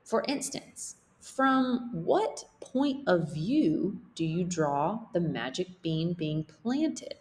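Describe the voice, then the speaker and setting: A woman's voice: lowish voice, lively intonation, very slow, hardly any pauses, a little mellow. A woman teacher reading a picture book aloud as a lesson for children, explaining things simply and checking in with questions.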